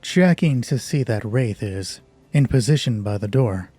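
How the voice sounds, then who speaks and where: A man narrating a solo tabletop horror roleplay as game master in measured, short descriptive phrases. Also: mid-range voice, animated, mellow, breathy edge. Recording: music behind the voice. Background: music.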